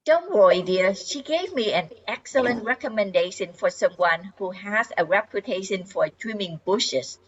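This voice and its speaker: A woman's voice: mid-range voice, lively intonation, moderate pace, few pauses, breathy edge. A woman playing a sweet, sly suburban wife in an amateur radio comedy. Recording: dull lo-fi audio.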